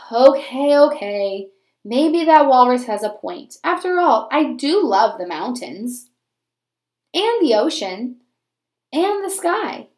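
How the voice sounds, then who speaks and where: Woman voicing a whiny, high-pitched storybook penguin. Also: roomy sound, heavily acted. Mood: exasperated. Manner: whiny, exasperated. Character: grumbling, put-upon young male penguin full of complaints. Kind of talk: storybook read-aloud.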